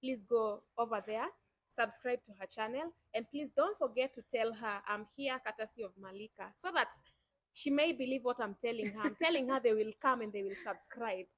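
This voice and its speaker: A woman's voice: fairly high pitch, brisk, a bit bright, no echo. A young Kenyan woman hosting her YouTube channel, chatting enthusiastically and speaking straight to her viewers. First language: Swahili. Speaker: YouTube host. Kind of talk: casual chat.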